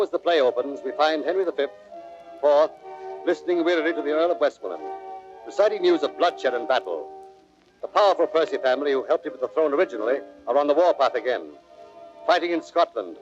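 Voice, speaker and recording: A man's voice: fairly high pitch, expressive, a little fast, music in the background. A man giving scripted, emphatic dramatic narration for an audio play, on an old recording. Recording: dull vintage audio recording.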